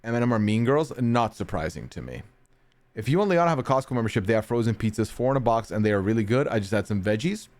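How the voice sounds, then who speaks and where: Lively, chatty male streamer. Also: fairly low pitch, fast. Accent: mixed, sometimes heard as British. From North America. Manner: chatty, rambling, laughs often. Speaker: streamer reading chat. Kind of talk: casual chat.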